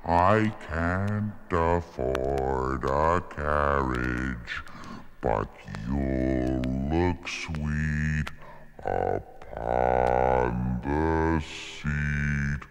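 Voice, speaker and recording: A man voicing a calm, polite, menacing ship's computer with a measured, unemotional delivery in a children's read-along audio drama. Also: rumbling low, gravelly, smooth, full character voice. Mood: singing, winding down. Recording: music under the voice.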